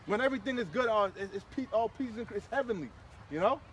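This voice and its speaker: A high-voiced man delivers fiery, informal preaching on scripture. He is emphatic and slangy, often repeating himself for force, with traffic noise around him outdoors. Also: expressive, fast talker. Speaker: street preacher leading a scripture discussion.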